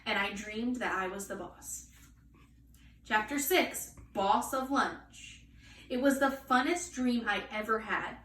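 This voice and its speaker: Higher-voiced woman reading aloud, echoey room. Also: bright, forceful tone. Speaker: children's story reader. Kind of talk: expressive storybook read-aloud. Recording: echoey room, distant mic, faint noise.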